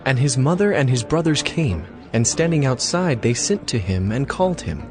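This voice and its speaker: A man narrating scripture as a solemn story, with clear phrasing, in a dramatized audio reading. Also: medium pitch, big pitch swings, moderate pace. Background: music.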